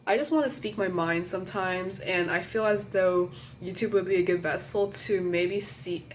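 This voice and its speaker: A young woman with a low, slightly nasal voice talks casually into her phone camera as a college student vlogger. She hesitates, apologizes, and restarts her sentences often. A faint noise sits under the recording. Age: young adult. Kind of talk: casual rambling chat to camera.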